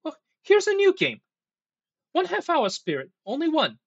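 A man's voice: extremely high pitch, huge pitch swings, moderate pace, gravelly, highs cut off, exaggerated voice. A man voicing an old man who pleads with boyish eagerness in a storybook reading. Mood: eager pleading.

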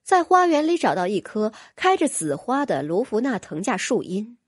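A woman's voice: on the high side, big pitch swings, normal speed, dry recording, clean studio sound. A woman narrating a fantasy audiobook from a script, as a storyteller.

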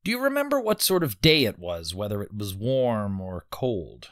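Woman doing a formal old-time prosecutor, really low voice, lively intonation, precise probing questions, courtroom drama. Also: normal speed. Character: formal, methodical male prosecuting attorney of the 1890s. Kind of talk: dramatic reading of a court transcript.

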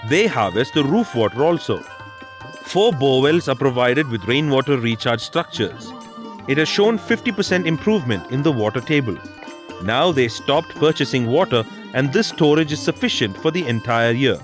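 Man, scripted instructional voice-over, animated intonation, clear music bed behind. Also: medium pitch, moderate pace. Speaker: documentary narrator. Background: background music.

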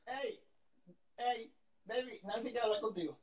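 A man's voice: extremely high pitch, unhurried, slightly rough, poor audio. A man chatting casually as a guest on a video call. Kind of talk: casual chat.

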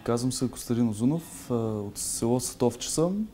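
A man's voice: mid-range voice, lively intonation, moderate pace, mellow, soft background music. A man in his twenties from Bulgaria, a young farmer, speaking plainly and steadily with small fillers. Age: 20s. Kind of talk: interview.

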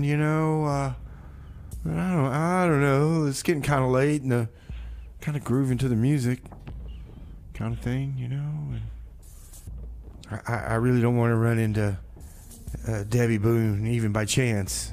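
A man hosting a community radio show in a studio, talking casually and hesitantly, with frequent restarts. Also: medium pitch. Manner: rambling, hesitant, full of restarts. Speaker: radio host.

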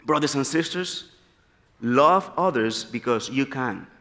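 A man in his thirties from the Republic, preaching an earnest, animated sermon at a church pulpit. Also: medium pitch, a little slow. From Dominican Republic. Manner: animated, gesturing freely, earnest storytelling. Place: large church sanctuary, at a pulpit. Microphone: pulpit mic. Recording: long church hall reverb.

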